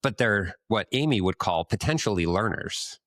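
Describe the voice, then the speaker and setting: Man, very low voice, casual podcast co-host, halting, thinking aloud. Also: expressive, a bit bright, no echo, studio quality. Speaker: podcast co-host asking questions. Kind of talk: casual business podcast conversation.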